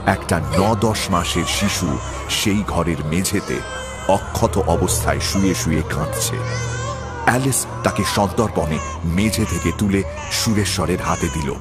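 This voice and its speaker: A man's voice: low voice, unhurried. A man reading a scripted story in a dramatic narrating style. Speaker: narrator of an audio horror and adventure story. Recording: loud music bed under the voice.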